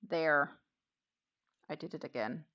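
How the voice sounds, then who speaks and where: A woman hosting a casual craft livestream, chatting haltingly and muttering to herself in a self-deprecating way. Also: deep voice, bright, forceful tone, muffled recording. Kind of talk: casual livestream chat while knitting.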